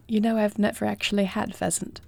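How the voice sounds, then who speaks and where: A woman playing a compassionate, self-doubting young dragon queen in a fantasy audio roleplay, speaking formally, earnestly and with soft intimacy. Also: mid-range voice, creaky, no room sound.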